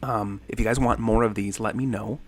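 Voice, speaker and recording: A man's voice: fairly low pitch, steady tone, a little fast. Rambling man in his thirties, livestream chat. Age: 30s. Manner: rambling, friendly, many ums and thank-yous. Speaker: livestream host. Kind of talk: casual livestream chat reading comments.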